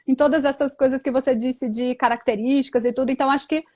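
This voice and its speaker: A woman's voice: sits high, fairly even intonation, fast, a little mellow. A woman hosting a livestream interview, speaking with enthusiasm and admiration. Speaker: host, language school director.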